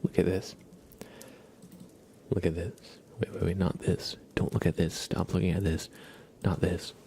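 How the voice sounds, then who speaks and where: A man hosting an ASMR livestream, chatting softly and playfully in a breathy, often whispered voice, repeating short phrases as if to a pet. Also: sits low, little pitch movement, measured pace, a little hiss or hum. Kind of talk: ASMR, casual chat with a pet and viewers.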